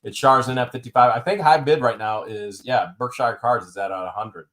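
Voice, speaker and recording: A man's voice: mid-range voice, normal speed, a bit bright. A man hosting a live-stream auction, calling out bids and reading viewer comments with dry jokes. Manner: wisecracking, repeats bids, drawls some phrases.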